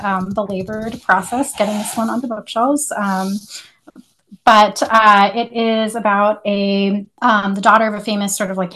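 A woman's voice: medium pitch, a bit bright, poor audio. A woman co-hosting a podcast, chatting casually in a rambling, self-deprecating way. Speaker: podcast co-host and young adult author.

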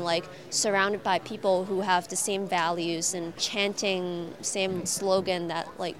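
A young woman from Hong Kong tells her story as an interview guest. She talks in an earnest, casual way, full of "like" and "um", in a voice of middle pitch. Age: young adult. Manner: earnest, many fillers like "like" and "um". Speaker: guest, activist.

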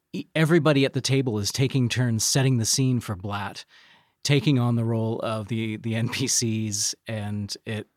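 Man talking haltingly, very dry sound. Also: on the low side, dry recording. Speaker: podcast guest, audio drama producer. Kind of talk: casual interview conversation.

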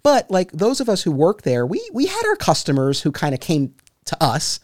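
A man's voice: a little high, huge pitch swings, moderate pace, a little mellow, no room sound. Guy in his 30s hosting a podcast, lots of you knows, dry close sound. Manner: rambling, many fillers and restarts. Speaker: podcast host. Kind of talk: casual interview chat.

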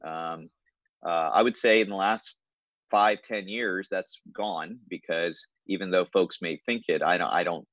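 Man, very low voice, dry dull lo-fi sound, thoughtful pauses, measured delivery. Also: a bit bright, no echo. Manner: measured, many fillers and restarts. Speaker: hospital leader, guest. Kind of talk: reflective conversational interview answer.

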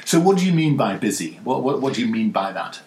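A man hosting an interview podcast, chatting warmly with frequent restarts and an upbeat manner. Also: mid-range voice, very animated, fast talker, reverberant. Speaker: podcast host. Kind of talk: casual interview chat.

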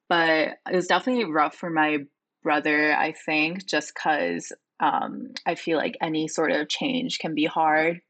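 A woman in her twenties, a native Korean speaker from South Korea, answering interview questions in a relaxed, thoughtful way with many fillers. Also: low voice. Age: 20s. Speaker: guest.

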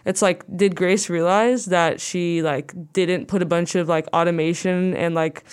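Young woman with a low, smooth voice. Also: steady tone, a little slow. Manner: rambling, says "like" a lot. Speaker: guest musician. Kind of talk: casual interview chat.

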